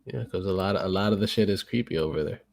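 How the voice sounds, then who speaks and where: A man's voice: sits low, quick pace, vocal fry. A man hosting a casual podcast, talking loosely with lots of fillers and swearing. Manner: loose, profane, filler-heavy. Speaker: podcast host.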